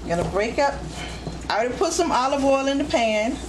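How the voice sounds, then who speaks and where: A woman's voice: sits high, very animated, a little fast. A woman hosting a home cooking demonstration, giving plain step-by-step instructions as she works at the stove. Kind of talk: hands-on cooking demonstration narration. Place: indoors, home kitchen at the stove. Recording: echoey kitchen, loud sizzle and stove noise.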